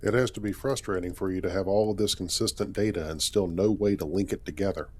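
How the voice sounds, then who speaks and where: A man's voice: low voice, fast talker, darkish tone. A man playing an easygoing, curious spaceship captain in a sci-fi game story, talking casually and thinking aloud. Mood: friendly. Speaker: actor voicing a game character in a fan story. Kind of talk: conversational character acting. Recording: clearly noisy background.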